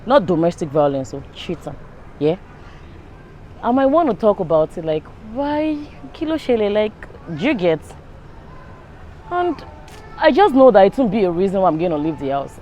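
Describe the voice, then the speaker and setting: A young Nigerian-accented woman talks casually as a street interviewee. Her voice is mid-pitched, and faint vehicle noise runs behind her outdoors. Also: sing-song, moderate pace, long pauses, dark tone. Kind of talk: casual chat.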